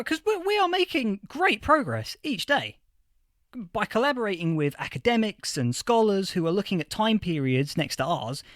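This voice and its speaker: Casting: male actor for eager academic, flustered podcast interviewee, stammering and excitable, very animated pitch, dry recording. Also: dry recording. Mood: defensive, excited. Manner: stammering, excitable, repeats words. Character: eager, flustered male history professor of a far-future age. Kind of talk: fiction podcast interview acting.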